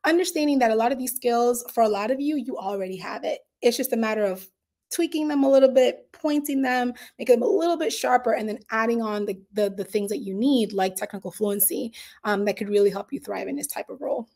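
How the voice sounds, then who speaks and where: A woman's voice: on the high side, brisk, darkish tone. A woman from the Dominican Republic giving an earnest, candid summit talk, with frequent fillers and restarts. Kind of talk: conversational online presentation.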